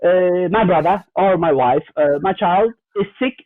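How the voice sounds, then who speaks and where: High-voiced man on a tinny remote line. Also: measured pace, no echo. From Germany. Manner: earnest, lots of hesitant fillers and restarts. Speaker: guest cybersecurity executive. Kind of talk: fireside chat, explaining with examples.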